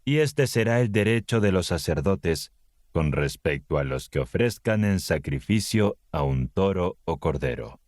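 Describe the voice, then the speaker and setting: A man with a very low, deep voice reads scripture slowly and solemnly, weighing each phrase. The recording is dry and close, with no room sound around him. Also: animated, darkish tone, no echo. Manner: slow, solemn, measured reading. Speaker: Bible narrator.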